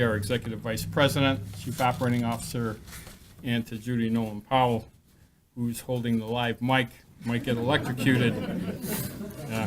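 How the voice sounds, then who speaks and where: A man's voice: mid-range voice, slight background noise. A man, a hospital executive, giving a formal thank-you speech at a press event and listing names with some hesitant fillers. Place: event hall at a live press announcement.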